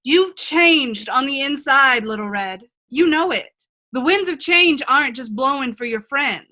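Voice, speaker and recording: A woman's voice: sits high, animated, a little slow, sharp tone, full character voice. A woman playing a wise, frank older self from a magic mirror in a radio play, earnest and reassuring. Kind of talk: comedic radio play acting.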